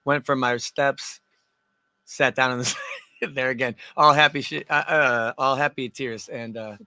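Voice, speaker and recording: Loud, bright-voiced male DJ guest. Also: mid-range voice, fairly even intonation, a little slow, dry recording. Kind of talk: casual radio interview. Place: radio studio.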